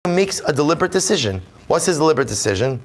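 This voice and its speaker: A man's voice: on the high side, big pitch swings, fast. A man teaching a Torah class as a rabbi. He reads Hebrew source text aloud, explains it in English, and repeats his key points for emphasis.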